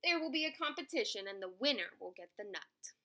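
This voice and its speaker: A woman's voice: fairly high pitch, lively intonation, fairly quick, bright, forceful tone. A woman voicing a firm, sensible male badger who keeps order in a children's storybook. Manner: firm, admonishing. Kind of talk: children's storybook read-aloud.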